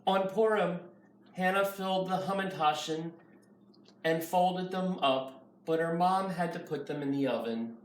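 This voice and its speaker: A man's voice: sits high, a little slow. A man reading a children's picture book aloud as a nursery school teacher. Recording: roomy, far from mic, faint noise.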